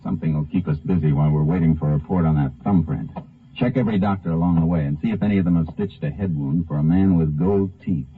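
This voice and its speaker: Very deep, terse Texas Ranger. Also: male voice, fast. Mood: businesslike. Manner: terse, commanding, clipped questioning. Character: tough, terse Texas Ranger investigating a killing. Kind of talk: 1950s radio drama acting and first-person narration. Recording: muffled vintage 1950 radio recording, music behind.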